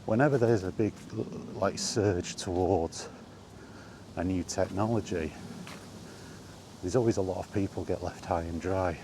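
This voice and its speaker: A middle-aged man in a casual, rambling vlog chat, speaking conversationally with halting pauses while out walking. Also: low-pitched. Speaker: gaming vlogger. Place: outdoors, walking. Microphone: microphone with wind guard. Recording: windy outdoor recording, background noise.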